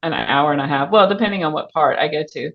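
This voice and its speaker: A woman's voice: low-pitched, expressive, fast talker, gravelly. A woman speaking as an author guest in a casual interview, informal and a little hesitant as she thinks aloud. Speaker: author guest on a book podcast. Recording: muffled, band-limited audio.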